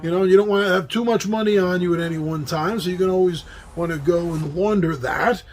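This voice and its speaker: A man with a New York accent hosting a casual monologue about board games, chatty and quick to laugh at his own slips. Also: high voice, soft background music. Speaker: host.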